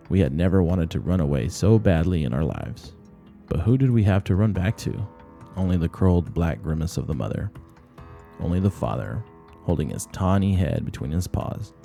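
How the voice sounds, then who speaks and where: A man's voice: low-pitched, gravelly, mellow. A man reading a short story aloud as scripted audiobook narration.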